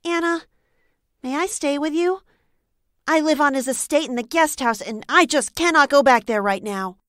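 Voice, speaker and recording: A woman's voice: high voice, normal speed, fairly bright tone, no room sound, studio quality. A woman playing a small, tough superheroine, earnest and matter-of-fact, in a comedy audio drama. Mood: hurt, upset. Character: small, tough, wounded superheroine, martial arts expert.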